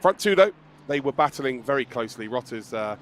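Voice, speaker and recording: A man commentating a live sim race with a croaky, strained voice, excitable and joking. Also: medium pitch, brisk, slight background noise. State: hoarse, losing his voice.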